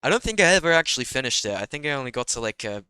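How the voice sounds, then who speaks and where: A man's voice: mid-range voice, very animated, racing, pushed and bright, clear, resonant tone, dry recording. A young man vlogging casually about joining a roleplay server, a little nasal from a cold, chatty and self-deprecating. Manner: chatty, self-deprecating, laughs at own jokes. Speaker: Minecraft roleplay creator. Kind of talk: casual solo vlog talk.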